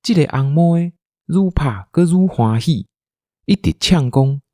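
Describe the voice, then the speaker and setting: A man with a Taiwanese accent narrating a scripted radio drama in an animated, dramatic storytelling manner. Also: fairly high pitch, unhurried, no echo, studio quality.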